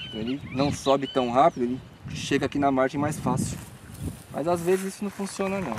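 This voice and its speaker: A man's voice: a little high, huge pitch swings, fast talker, noisy background. A man in his thirties hosting a fishing show, narrating and explaining as he fights a fish from a pond bank, now and then coaxing it. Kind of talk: live commentary while fishing. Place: outdoors at a rural pond bank. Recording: outdoor rural ambience.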